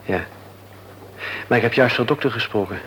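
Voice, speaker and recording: A man's voice: medium pitch, moderate pace, fairly bright tone, a little hiss or hum, natural voice, low-quality recording. An actor playing a polite, mild-mannered man worried about his sick niece, in a radio play.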